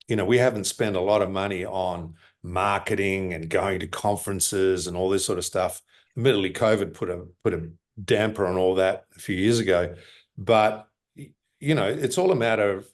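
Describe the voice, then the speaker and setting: A man working as a mining company executive, answering interview questions over a video call in a halting way, with many fillers and restarts. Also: deep voice. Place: office in Lima.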